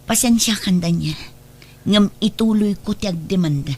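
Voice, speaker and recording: A woman's voice: mid-range voice, moderate pace, a little mellow, lightly acted. A woman acting a wronged, protective middle-aged mother in an Ilocano radio drama, speaking earnestly. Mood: reproachful. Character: wronged protective mother, firm, hurt and resolute. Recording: slightly noisy radio drama track.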